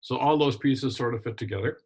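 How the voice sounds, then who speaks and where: An older man from Wisconsin, a retired minister and journalist, telling his life story in a relaxed interview with frequent ums and restarts. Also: medium pitch, a little fast, gravelly, breathy edge. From Wisconsin, USA. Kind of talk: casual conversational interview.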